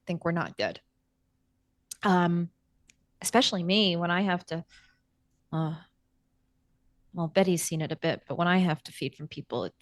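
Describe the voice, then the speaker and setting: A woman improvises casual roleplay at a tabletop game. Her delivery is slow and tentative, feeling out each thought. The sound is dry, with little room to it. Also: lowish voice, a bit bright, dry recording. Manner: hesitant, tentative. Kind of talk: casual in-character improvised chat.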